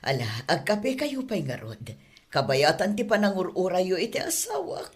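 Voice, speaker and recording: A woman plays a hospitable employer's wife in an Ilocano-accented drama. Her voice sits very low for a woman and moves expressively as she chats. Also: sing-song, moderate pace. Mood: welcoming. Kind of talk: Ilocano audio drama acting.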